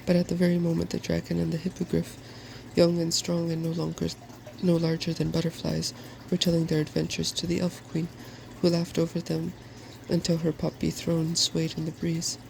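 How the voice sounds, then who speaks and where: Very creaky, soft-spoken storybook narrator. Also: mid-range voice, completely flat delivery, normal speed, hardly any pauses, slight background noise, poor audio. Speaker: narrator reading fairy tales.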